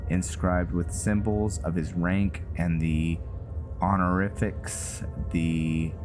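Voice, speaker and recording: A man's voice: sits low, steady tone, takes it slowly. A man giving casual roleplay game commentary, musing with dry jokes and trailing asides. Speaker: gaming video host. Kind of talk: casual roleplay gameplay commentary, sometimes reading in-game text aloud. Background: game music.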